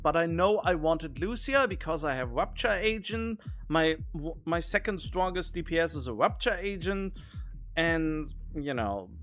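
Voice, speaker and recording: A man's voice: on the high side, fairly bright tone. A man streaming a video game, thinking aloud in casual commentary with rambling, wondering questions.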